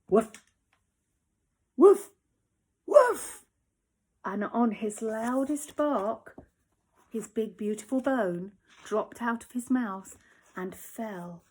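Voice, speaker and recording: A primary school teacher reading a children's story aloud at home, expressive, dropping to whispers for the suspenseful parts. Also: female voice, a little high, husky.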